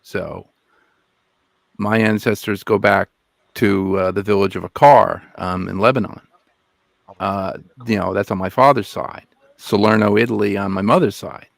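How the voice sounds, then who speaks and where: A man from the United States co-hosting a podcast, talking hesitantly with many pauses and restarts. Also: on the low side, steady tone, creaky, no room sound. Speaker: podcast co-host. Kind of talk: casual podcast discussion.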